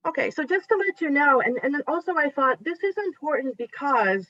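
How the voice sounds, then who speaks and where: A woman's voice: sits high, lively intonation, a little fast, sharp tone, no echo. A woman whose first language is Japanese, presenting a teacher workshop online in a chatty, encouraging way and guiding the audience step by step. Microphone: video call. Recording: dull lo-fi video call audio.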